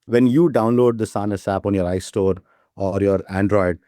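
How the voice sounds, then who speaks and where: A man's voice: on the low side, steady tone, moderate pace, mellow, dry recording, studio quality. A man talking as a startup CEO guest in a casual interview, keen and eager, often tagging his sentences with "right?". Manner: enthusiastic, repeats "right?" as a tag.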